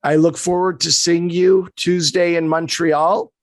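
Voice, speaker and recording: A middle-aged man hosting a podcast, asking a guest questions in a measured, friendly way. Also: on the high side, level tone, no room sound. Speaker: podcast host and player agent. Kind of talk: interview questions.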